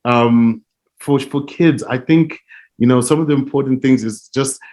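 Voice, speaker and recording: A man from Zimbabwe, answering interview questions in an earnest, reflective way with frequent soft laughs. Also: medium pitch, measured pace. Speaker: interview guest, music publishing director.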